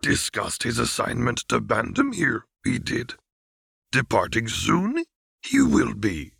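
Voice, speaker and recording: A man voicing an ancient, small alien sage in a storybook reading, creaky and raspy, speaking in halting, inverted phrases. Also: mid-range voice, wildly swooping pitch, a bit bright, dry recording, studio quality, voice pushed up, exaggerated voice. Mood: musing, cryptic. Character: ancient small alien Jedi master, cryptic, grave.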